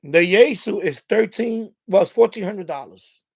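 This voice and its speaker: A middle-aged man hosting a casual live stream, rambling and thinking aloud in a halting way. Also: high voice, expressive, creaky, husky.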